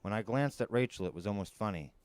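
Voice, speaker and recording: A man's voice: fairly low pitch, lively intonation, quick pace, a little hiss or hum. A man narrating a first-person adventure novel in a dramatic storytelling style. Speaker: storyteller reading an audiobook.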